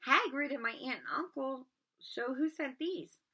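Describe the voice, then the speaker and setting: A woman's voice: high-pitched, a little slow, sharp tone, breathy edge, voice pushed up, exaggerated voice. A woman voicing a polite, modest schoolboy in a storybook, with an eager manner.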